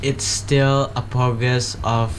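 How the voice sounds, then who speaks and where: A teenage student with an Indonesian accent, giving classmates an earnest, halting pep talk and correcting himself as he goes. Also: mid-range voice, level tone, low-quality recording. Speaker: student guest. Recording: background ambience noise.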